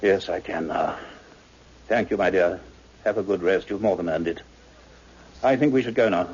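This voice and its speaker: An actor playing a kindly, authoritative older scientist with a deliberate, earnest delivery in a 1960s radio drama. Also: male voice, sits low, darkish tone, husky. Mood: gentle. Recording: muffled old radio recording, faint noise.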